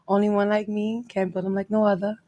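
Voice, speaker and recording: A woman talking as a podcast guest, boastful as she introduces herself and spelling things out slowly. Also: mid-range voice, flat delivery, dark tone, muffled recording. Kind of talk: casual chat.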